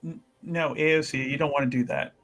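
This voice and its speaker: A man playing a tabletop roleplaying game, improvising casually in a halting way and repeating his words. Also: fairly high pitch, steady tone, a bit bright, soft background music.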